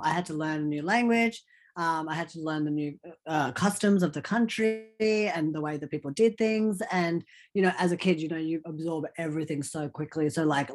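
A young man, a podcast guest chatting over a video call and telling his life story, with lots of ums and restarts. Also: on the high side. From Australia. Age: 20s. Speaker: podcast guest, content creator. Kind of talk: casual storytelling interview.

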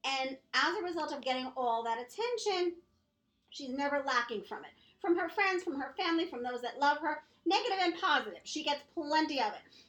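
High-voiced woman getting over a cold. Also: expressive, brisk, fairly bright tone, roomy sound, low-quality recording. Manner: emphatic, motherly advice-giving. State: recovering from a cold, coughing. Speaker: podcast co-host. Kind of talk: casual chat.

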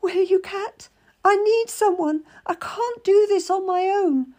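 A woman's voice: very high-pitched, slow, darkish tone, voice pushed up. A woman voicing a frightened, naive young noblewoman who pleads on the edge of tears. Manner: trembling, pleading, near tears.

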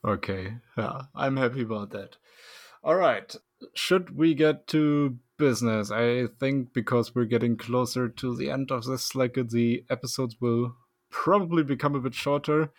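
A man hosting a casual podcast, relaxed and laughing often, with loose, filler-heavy phrasing. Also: medium pitch, a little slow, no room sound, studio quality. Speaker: podcast host.

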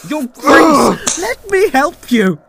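A woman's voice: high voice, sing-song, slow pace, gravelly, heavily acted. A woman playing a worried, earnest servant pleading with a young prince in a fantasy audio drama. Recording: very noisy, clicky recording.

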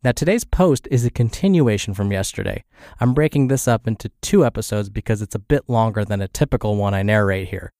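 A man hosting a podcast, reading in a measured, explanatory narration with a slightly congested, scratchy voice from a cold. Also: fairly low pitch, gravelly, dark tone, no echo, studio quality. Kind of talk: scripted podcast narration, reading an article aloud.

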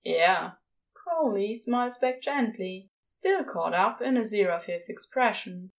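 A woman's voice: on the high side, animated, measured pace. A woman reading a story aloud as a scripted fiction narrator. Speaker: podfic narrator.

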